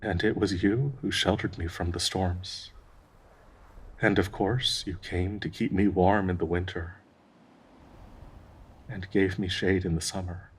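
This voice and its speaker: Man voicing a husky, slow-spoken, grieving dryad. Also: deep voice, fairly even intonation, exaggerated voice. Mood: wistful, tender. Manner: tender, halting, wistful, pleading for reassurance. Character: gentle, grieving male dryad devoted to a sick companion. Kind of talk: intimate dramatic audio roleplay monologue.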